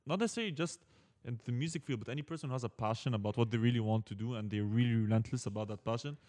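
A man hosting a casual podcast chat, relaxed and talking through his points with fillers. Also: mid-range voice, very fast. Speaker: host.

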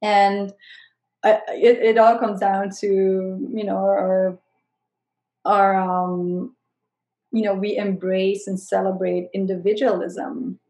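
A woman from Switzerland and the Netherlands, a guest coach, who speaks in a reflective, soft-spoken way during a casual interview. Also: mid-range voice, level tone, takes it slowly. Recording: roomy echo.

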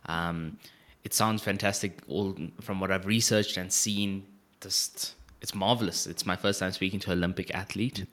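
A man hosting a podcast, reading a scripted intro and then asking questions in an effusive, flattering way with some hesitations. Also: low voice, normal speed. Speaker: podcast host.

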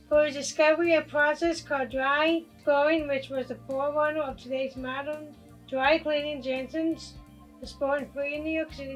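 A woman with a clearly high voice reads prepared biographical narration. Faint music runs underneath her reading. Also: rough recording.

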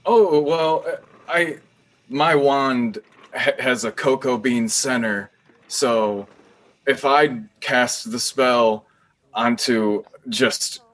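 A man's voice: medium pitch, drawn-out words, fairly bright tone. A man playing a meek, eager new wizard employee in an improvised comedy sketch, stammering earnestly and hesitantly. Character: meek, eager male wizard newcomer starting a job.

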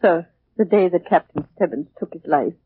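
An actress playing a devoted, deferential, easily upset housekeeper in a 1940s radio thriller. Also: female voice, on the low side, expressive, moderate pace, slightly rough. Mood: ominous, hesitant. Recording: muffled, narrowband 1940s radio recording.

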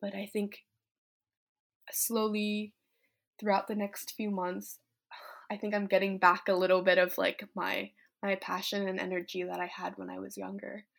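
A woman's voice: mid-range voice, flat delivery, moderate pace, vocal fry, fairly bright tone. Teen girl from vietnam, activist type, just talking honestly about her life, thoughtful, says like and you know a lot. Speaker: teenage climate activist. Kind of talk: casual personal reflection.

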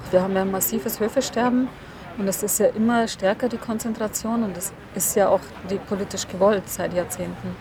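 A woman's voice: medium pitch, steady tone, brisk. Emphatic woman interviewee amid traffic noise. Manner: emphatic, plain-spoken. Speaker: interviewed activist expert. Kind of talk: earnest spontaneous interview.

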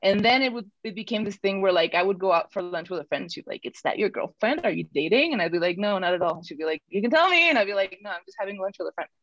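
A woman's voice: medium pitch, animated, fast, few pauses, fairly bright tone, no echo, muffled recording. A woman from Mexico, a podcast guest, telling a personal story in a casual, chatty way full of restarts.

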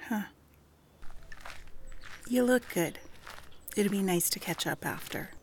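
A woman's voice: mid-range voice, takes it slowly, a little mellow, a little hiss or hum. A woman playing a wry, blunt clinic worker who teases her old friends, in a naturalistic audio drama with dry, casual, clipped phrasing. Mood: guarded, wounded.

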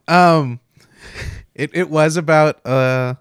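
A man's voice: fairly high pitch, very slow, vocal fry, smooth, no room sound, clean studio sound. A man co-hosting a casual film discussion, talking informally and hesitantly with a wry manner. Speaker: podcast co-host.

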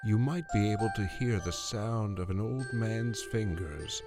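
A man's voice: fairly low pitch, a little slow. A man narrating a fairy tale in a solemn, theatrical storytelling manner. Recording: loud music under narration.

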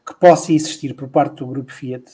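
A man's voice: medium pitch, fairly even intonation, brisk, dark tone, breathy edge. A man co-hosting a casual podcast, rambling and thinking aloud with many repeats. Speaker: podcast co-host. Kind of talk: casual podcast chat about motor racing.

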